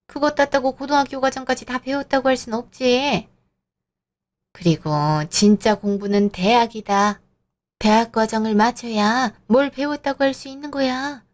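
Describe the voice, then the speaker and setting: A woman's voice: fairly high pitch, fairly even intonation, moderate pace, natural voice. A woman voicing a snobbish, condescending mother-in-law in her sixties who lectures in an audio drama. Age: 60s.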